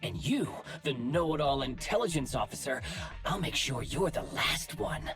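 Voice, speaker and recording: An actor voicing a vengeful male AI villain who has seized a virtual system, speaking in a slow, menacing, taunting way in a game cutscene. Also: medium pitch, sing-song, over music, lightly acted. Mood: gloating. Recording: game soundtrack faintly behind.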